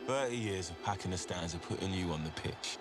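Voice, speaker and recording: A man's voice: sits low, brisk. A man delivering scripted promotional narration for a trailer. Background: music.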